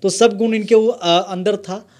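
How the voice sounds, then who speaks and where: A man, a journalist explaining things in an interview, halting with many fillers. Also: sits high, steady tone, a little mellow. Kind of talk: interview chat.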